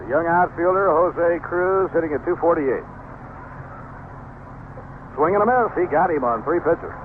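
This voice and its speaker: A man's voice: on the high side, a little slow. Tinny old-radio American baseball announcer, man. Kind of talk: live radio sports commentary. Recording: noisy, band-limited old radio broadcast.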